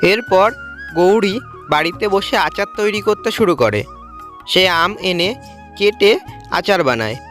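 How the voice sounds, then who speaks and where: A woman's voice: medium pitch, expressive, a little slow, pauses often, a bit bright, faint music behind. A woman reading scripted narration for a cartoon story as its storyteller.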